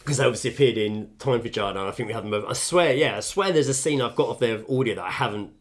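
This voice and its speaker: A man's voice: medium pitch, racing. A man in his thirties co-hosting a podcast, chatting casually in a home kitchen, swearing freely and laughing often. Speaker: podcast co-host. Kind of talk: casual chat.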